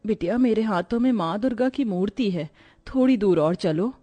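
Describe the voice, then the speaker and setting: A woman voicing a devout, gentle young daughter-in-law, patient and steady, in an animated moral story. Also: medium pitch, moderate pace, faint music behind, lightly acted. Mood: patient, consoling.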